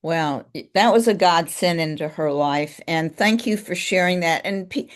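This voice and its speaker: A woman's voice: lowish voice, moderate pace. A woman hosting a live webinar over a video call, encouraging callers and leaning on "you know" fillers. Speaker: webinar host.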